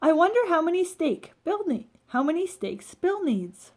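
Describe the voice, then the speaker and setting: A woman's voice: extremely high pitch, sing-song, normal speed, a little mellow. A woman voicing a cheerful, earnest, literal-minded housekeeper and babysitter from a children's storybook. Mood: cheerful, helpful.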